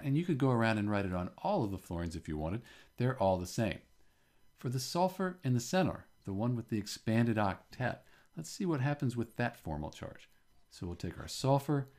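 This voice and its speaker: A man's voice: lowish voice, a little mellow. A man teaching chemistry in an informal tutorial style, explaining methodically step by step.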